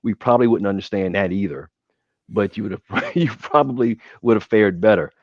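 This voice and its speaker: Low-voiced man with Midwestern accent. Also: a little fast, no room sound. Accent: Midwestern American. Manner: rambling, with many restarts and hesitations. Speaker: podcast guest. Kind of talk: casual podcast chat. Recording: dull, dry sound.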